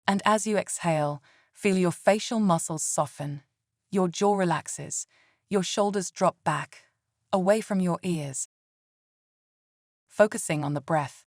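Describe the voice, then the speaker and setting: A woman leading a scripted guided meditation in a calm, soothing and gentle way. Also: fairly low pitch, unhurried, long pauses, no echo, studio quality.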